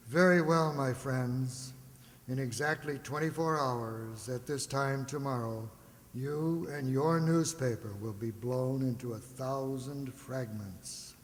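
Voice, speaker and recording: Slow, menacing masked villain acted by a man. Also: mid-range voice, close to an everyday voice. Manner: slow, deliberate, ominous, formal. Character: menacing masked master villain threatening on the telephone. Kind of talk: 1940s radio serial acting. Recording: echoey hall, clear background noise.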